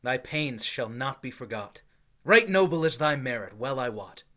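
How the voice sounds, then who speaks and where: A man playing a newly crowned king in a Shakespeare reading, formal and rising to forceful declamation. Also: medium pitch, lively intonation, normal speed. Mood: regal. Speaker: actor in a staged reading. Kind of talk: Shakespeare reading over video call. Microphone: video call webcam.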